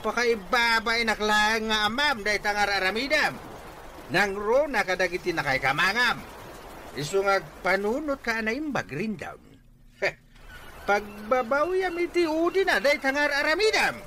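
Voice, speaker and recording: An exasperated, blustering older father in a radio comedy-drama. His voice is high and sharp-edged, swinging widely as he scolds. Faint noise sits under the recording. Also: animated, moderate pace. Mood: exasperated scolding.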